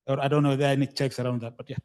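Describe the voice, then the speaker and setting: A man of medium pitch speaks with little variation in tone. He is a panel participant, working his way tentatively through a question in conversational style. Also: little pitch movement, racing, a little mellow.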